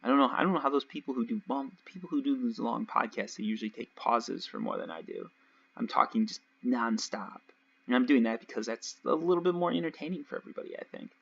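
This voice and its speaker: A young man casually commentating his gameplay nonstop, his voice a little raspy from long talking. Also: medium pitch, expressive, quick pace, fairly bright tone. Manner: chatty, rambling, nonstop talk with fillers. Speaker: let's play host. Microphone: home desk mic. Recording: dull lo-fi home mic.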